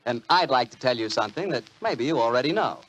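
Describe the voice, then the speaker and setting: 1940s American male radio announcer, folksy pitchman, very animated intonation. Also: quick pace, low-quality recording. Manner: chatty, folksy salesmanship.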